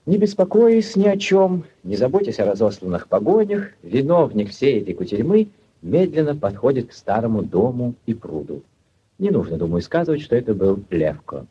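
A man narrating a literary tale for 1940s radio in a measured, expressive, theatrical manner. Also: mid-range voice, husky. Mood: lyrical, dreamy. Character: solemn, lyrical storyteller narrator. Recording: dull 1940s radio recording, loud music behind.

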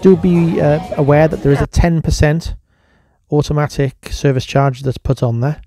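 A man you would hear narrating a homemade restaurant review online, mellow and unforced. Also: fairly high pitch, steady tone, normal speed, no echo, faint music behind. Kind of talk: casual voice-over review narration.

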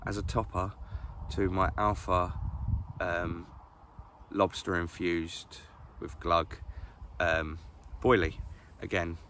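A man's voice: low-pitched, little pitch movement, slow pace, fairly bright tone, slight background noise. A man in his forties with an English accent, chatting casually to camera by a lake, with lots of ums and restarts. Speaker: fishing vlogger. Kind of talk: casual outdoor vlog. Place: outdoors by a lake. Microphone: camera on a stand, sitting close.

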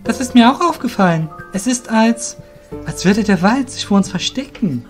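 An earnest young apprentice in a fantasy radio play , acted by a man, speaks in a raised, youthful pitch. He is curious and politely cheeky, with frank questions. Plucked guitar music plays behind him. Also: lively intonation, moderate pace. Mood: thoughtful, cheeky.

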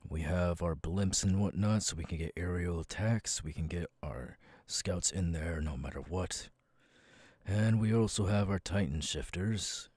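A man voicing a stern, weary, guarded military commander in an ASMR audio roleplay, husky and brooding. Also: low voice, measured pace, darkish tone, no room sound. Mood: businesslike. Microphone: close, dry microphone.

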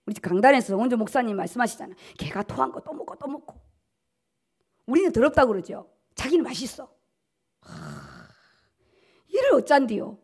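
High-voiced woman preaching emphatically. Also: animated, fast talker, a little mellow, slightly rough. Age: middle-aged. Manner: emphatic, repeats key words for stress. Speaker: pastor. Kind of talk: sermon, preaching at a dawn service. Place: at a pulpit in a church auditorium. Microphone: podium gooseneck microphones.

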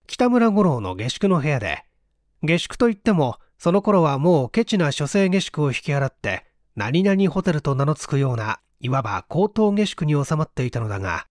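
A man's voice: fairly high pitch, no echo, clean studio sound. A man reading literary fiction aloud as a dramatic audiobook narrator. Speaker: audiobook reader, stage actor.

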